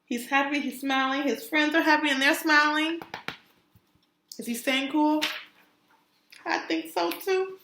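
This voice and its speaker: High, bright-voiced woman teacher in an echoey room. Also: a little hiss or hum. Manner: expressive, encouraging, pauses to question listeners. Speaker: kindergarten teacher. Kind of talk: read-aloud storytelling for young children with questions to the listeners. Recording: roomy, echoey sound.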